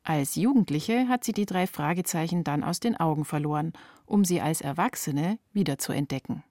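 A woman's voice: sits low, moderate pace, a little mellow, dry recording, clean studio sound. A woman reporter reading scripted narration for a radio feature.

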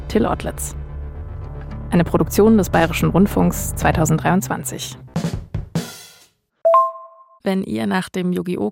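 A woman's voice: lowish voice, vocal fry, a little mellow, dry recording, studio quality. A woman, hosting a podcast and narrating from a script. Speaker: podcast host.

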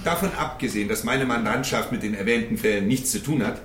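A man acting a sarcastic, dismissive defence lawyer in a radio play. He talks quickly at a middle pitch, in a very roomy space with faint noise. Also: fairly bright tone, close to an everyday voice. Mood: scornful. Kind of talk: scripted audio drama courtroom speech.

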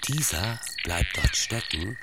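Loud electronic music and chirping surround a low-pitched entomologist. He gives matter-of-fact scientific narration in a radio play, yet his intonation moves widely. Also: huge pitch swings, slow, heavily acted. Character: matter-of-fact male entomologist explaining insect mating. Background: electronic music with chirping insects and frogs.

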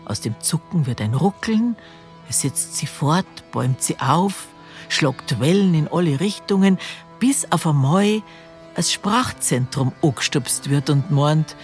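A woman's voice: low-pitched, animated, measured pace, darkish tone, soft background music. A woman playing an intimate, earnest storyteller with a Bavarian accent, narrating a drama. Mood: hushed, intense.

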